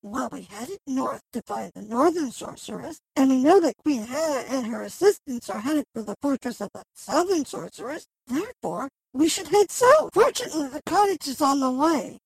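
A woman's voice: high-pitched, expressive, normal speed, gravelly, hardly any pauses, a little mellow, no echo, rough recording. A woman playing a practical, determined young sister leading a quest in a fantasy audio drama.

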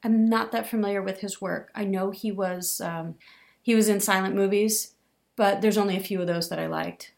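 A woman's voice: medium pitch, steady tone, moderate pace, vocal fry, darkish tone. A woman co-hosting a podcast, chatting casually and giving short replies. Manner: laughs easily, short replies. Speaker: podcast co-host. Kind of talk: casual chat.

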